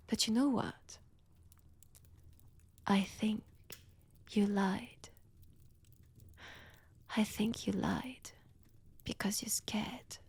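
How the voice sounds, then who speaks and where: Woman doing a french accent vampire lady, whispery n slow, teasing seductive menace, asmr roleplay right up on the mic. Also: medium pitch, steady tone, darkish tone, no echo, heavily acted. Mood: taunting. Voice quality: breathy, whispery. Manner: slow, teasing, deliberate delivery. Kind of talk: intimate ASMR audio roleplay. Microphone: close, dry microphone.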